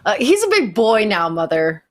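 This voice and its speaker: A woman's voice: mid-range voice, huge pitch swings, normal speed. A woman playing an easygoing young man, a former pilot and brother, in a sci-fi radio play. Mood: easygoing, persuasive.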